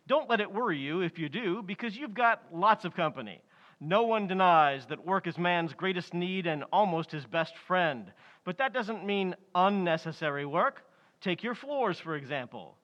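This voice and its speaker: A man's voice: high voice, bright, forceful tone, muffled recording. A man playing a hearty 1940s radio sponsor announcer, delivering an emphatic, salesmanlike read.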